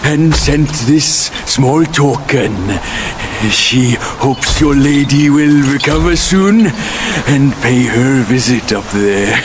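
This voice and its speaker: An actor playing a sly, mocking trickster creature with theatrical, teasing, drawn-out delivery in a fantasy game. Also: male voice, medium pitch, background noise, close to an everyday voice. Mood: feigned politeness. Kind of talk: dramatic video game voice acting. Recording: loud music behind the voice.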